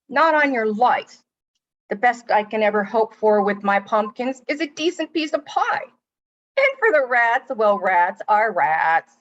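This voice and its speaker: Dull, lo-fi audio: woman as sarcastic jealous stepsister, slightly high voice, theatrical comic monologue. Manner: sarcastic, exaggerated, emphatic. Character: jealous, sarcastic stepsister of Cinderella, indignant and vain.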